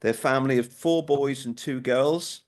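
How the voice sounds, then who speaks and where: An older man, in his sixties or beyond, with a Norwich accent, giving a prepared history talk in a measured, rhetorical way. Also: mid-range voice. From Norwich, England. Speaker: local history speaker.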